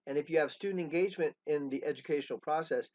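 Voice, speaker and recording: Halting older man pitching a training. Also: a little mellow. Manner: halting, with many restarts and pauses. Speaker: education trainer and coach. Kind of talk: unscripted pitch to school staff. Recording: dull lo-fi recording.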